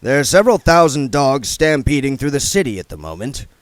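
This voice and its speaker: A man voicing a sardonic, menacing police chief who speaks dryly and slowly. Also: mid-range voice, big pitch swings, no room sound, voice pushed up, lightly acted.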